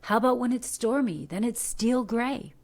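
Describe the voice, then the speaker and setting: A middle-aged American woman from Detroit, an actor giving earnest, coaching advice in a podcast interview, animated with rhetorical questions. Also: a little high, moderate pace. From Detroit, USA. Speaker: guest, actor. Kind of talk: podcast interview, motivational talk.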